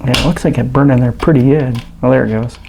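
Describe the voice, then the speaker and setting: A man giving casual hands-on demonstration commentary as a host, thinking aloud in a halting, understated way. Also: medium pitch, steady tone, vocal fry, background noise. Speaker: host of an electronics teardown channel.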